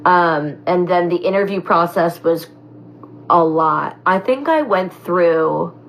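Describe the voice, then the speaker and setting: Raspy lower voiced girl rambling thru a fan q&a, lots of likes and ums. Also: slow pace, a little hiss or hum, poor audio. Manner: animated, chatty, heavy on fillers like "like" and "um". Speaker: former reality show contestant. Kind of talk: casual Q&A chat.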